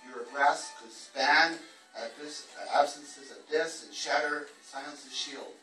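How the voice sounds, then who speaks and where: A man's voice: on the high side, slow, pushed and bright, soft background music. A man speaking as a poet at a live reading, moving between informal, wordy, self-deprecating remarks and his own verse. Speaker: poet reading own work at a book launch. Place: echoey room at a live event. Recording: roomy, distant event microphone.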